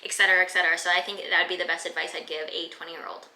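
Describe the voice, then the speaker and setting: A young woman in her mid-twenties, from Canada, runs through viewer questions in a relaxed vlog chat. Her voice is bright and forceful, and she leans on lots of casual filler words. The room around her sounds echoey. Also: lowish voice, very fast. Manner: chatty, many "like" fillers. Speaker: fitness vlogger.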